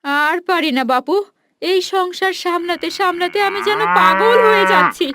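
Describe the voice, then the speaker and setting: A woman's voice: extremely high pitch, normal speed, noisy background. A woman voicing a nagging, exasperated village housewife and mother in a cartoon fairy tale, scolding and complaining.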